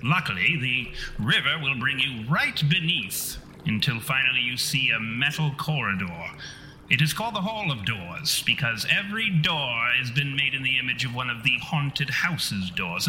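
A man's voice: mid-range voice, very animated, normal speed, pushed and bright. A man voicing the vengeful ghost of a conceited, long-winded parapsychologist in a tabletop horror game, pompous and theatrical in formal speech. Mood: ominous. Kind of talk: tabletop horror role-play. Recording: reverberant hall sound, noisy ambience behind.

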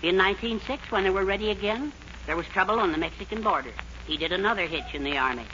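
Bright-voiced, fatherly older American gent. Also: high voice, fast talker. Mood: wry, advising. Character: genial, wry middle-aged fatherly man giving advice. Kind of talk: 1940s radio comedy acting. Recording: narrow-band old radio recording, faint noise.

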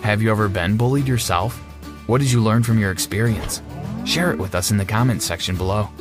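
A repentant teenage bully played by a man tells his story in the first person. The voice is fairly low, earnest and dramatic, with music running underneath. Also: fairly quick, lightly acted. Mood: sincere.

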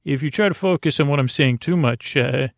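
Creaky-voiced man, gentle and meandering. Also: on the high side, dry recording, clean studio sound. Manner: meandering, halting, over-explaining; gentle, reassuring. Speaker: podcast host. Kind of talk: rambling bedtime podcast monologue.

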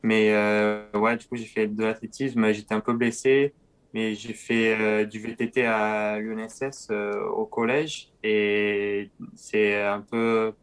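A young man with a slight southern French accent, answering interview questions in a hesitant way with many pauses and fillers. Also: on the low side, flat delivery, smooth. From southern France. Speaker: guest, pro cyclist.

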